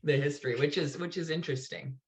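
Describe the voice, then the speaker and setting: A man's voice: a little high, steady tone, fast talker, a crowd in the background. A man in his thirties hosting a video call interview, friendly and hedging with "kind of" and "right?". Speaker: host, foundation director. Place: indoor home room.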